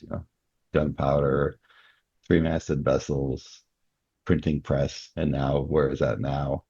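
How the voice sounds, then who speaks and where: A man with a very deep voice full of gravelly fry talks as an interview guest. He reasons through ideas aloud in a reflective, conversational way. The microphone sound is slightly muffled and lo-fi. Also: mellow. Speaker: guest author.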